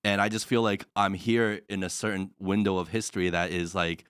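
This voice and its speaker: Earnest low-voiced man, smooth tone. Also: flat delivery, fairly quick, a bit bright, no echo, studio quality. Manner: earnest, heavy use of "like". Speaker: podcast co-host. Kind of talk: casual podcast chat. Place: studio in Shanghai.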